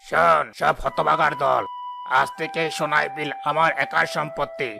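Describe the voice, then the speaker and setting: A man's voice: fairly high pitch, flat delivery, normal speed, vocal fry, music in the background. A man playing a cruel, arrogant village landlord in a cartoon, domineering and threatening as he gives commands. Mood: imperious. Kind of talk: dramatic cartoon voice acting.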